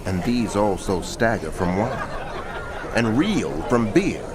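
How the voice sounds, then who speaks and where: A man's voice: fairly low pitch, very animated, takes it slowly, a loud crowd, heavy background noise. A man narrating scripture dramatically, in a declamatory and emphatic manner.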